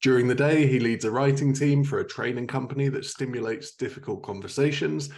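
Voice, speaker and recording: Male podcast host, mid-range voice, part scripted intro and part relaxed interview chat. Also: mid-range voice, a little fast, vocal fry.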